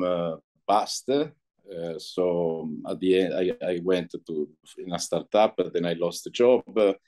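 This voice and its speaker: A middle-aged man from Italy, Italian his first language, answering interview questions on a video call in a halting way, with many pauses and fillers. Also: low voice, warm tone. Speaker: interview guest, entrepreneur. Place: indoor room, light walls. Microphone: video call laptop mic.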